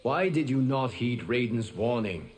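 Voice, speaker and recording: An actor playing a stern, wise lord and mentor with calm authority in video game dialogue. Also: male voice, medium pitch, unhurried, faint music behind, lightly acted. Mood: stern, solemn. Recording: faint background noise.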